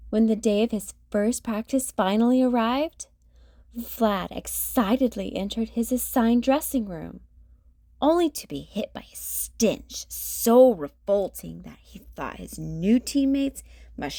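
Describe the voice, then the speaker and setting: Expressive woman reading a kids' story. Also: mid-range voice, no room sound, studio quality. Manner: expressive, sing-song storytelling. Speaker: children's storytime host. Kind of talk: reading a picture book aloud to kids.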